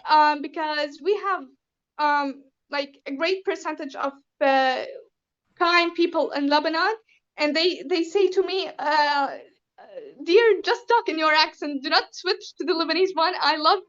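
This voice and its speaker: Syrian-accented woman, high voice, lo-fi. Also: measured pace, a bit bright. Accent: Syrian Arabic. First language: Arabic. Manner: careful, formal phrasing with many ums. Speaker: interview guest. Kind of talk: interview answers.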